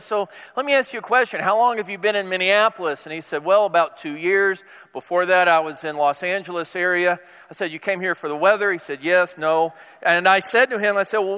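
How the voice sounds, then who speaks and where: High, bright-voiced male pastor in a hall. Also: clean tone. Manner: conversational, animated retelling with acted-out dialogue. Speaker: pastor preaching. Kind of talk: sermon storytelling. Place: large hall, speaking to a congregation. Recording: reverberant hall.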